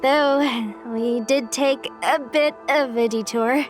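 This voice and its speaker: A woman's voice: sits high, slow pace. A woman voicing an earnest, eager young woman soldier in an anime game, bubbly and easily flustered. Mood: cheerful, proud. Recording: music behind the voice.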